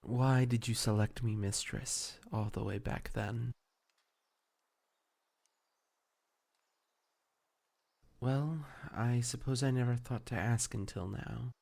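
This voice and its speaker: A man playing a devoted, ancient fey familiar spirit in an intimate roleplay audio, speaking formally and tenderly with measured, archaic diction. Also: mid-range voice, creaky, a little mellow. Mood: thoughtful.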